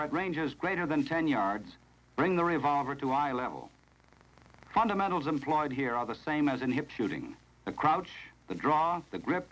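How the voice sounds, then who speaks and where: An American man reading scripted narration in the formal, measured, instructional manner of a 1970s training film, on a vintage film soundtrack. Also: mid-range voice, poor audio.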